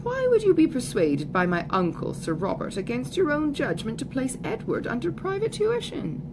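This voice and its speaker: A woman voicing a conceited, foppish young gentleman in an audiobook, with a pompous, affected, effusive manner. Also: high voice, huge pitch swings, moderate pace, voice pushed up. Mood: pompous, self-assured. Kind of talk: audiobook character voice. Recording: clearly noisy recording, background ambience.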